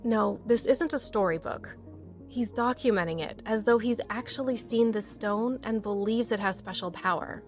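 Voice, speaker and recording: A woman's voice: mid-range voice, normal speed, soft background music, close to an everyday voice. A woman acting a determined, open-minded survivor in an audio drama, earnest and persuasive.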